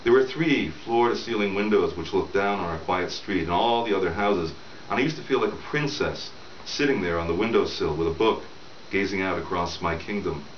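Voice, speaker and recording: A man's voice: sits low, a little fast. A middle-aged man reading his novel aloud in a conversational storytelling way, as the author, in a room at home. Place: small room at home, indoors. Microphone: camera several feet away. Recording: roomy, distant camera mic, dull with hiss.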